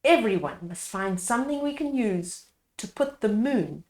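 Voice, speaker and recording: A woman's voice: medium pitch, big pitch swings, unhurried, a little mellow, echoey room. A woman voicing a clever, eager young village girl in a children's storybook. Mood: earnest.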